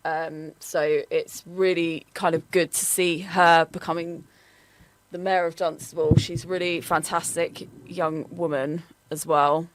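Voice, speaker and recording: A woman's voice: sits low, level tone, unhurried, a little hiss or hum, low-quality recording. A woman presenting a local radio show, talking in a chatty way with plenty of ums and likes. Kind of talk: casual radio chat.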